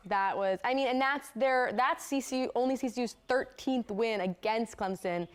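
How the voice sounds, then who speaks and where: High-voiced woman hosting a podcast. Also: normal speed. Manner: bantering, teasing. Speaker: podcast host. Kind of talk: casual sports podcast chat.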